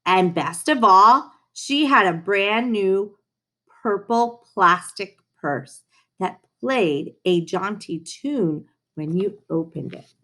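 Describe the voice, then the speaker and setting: A woman reading a children's storybook aloud in an expressive way, with dramatic pauses and playful touches. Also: medium pitch. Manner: animated, unhurried, dramatic pauses, playful asides.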